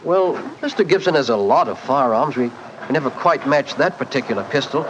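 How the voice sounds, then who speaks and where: An actor playing a deferential local English police sergeant with colloquial, rustic speech in a 1940s radio drama. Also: male voice, medium pitch, lively intonation, a little fast, close to an everyday voice. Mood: confiding. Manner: colloquial, rustic grammar, respectful. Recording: muffled, noisy 1940s radio recording.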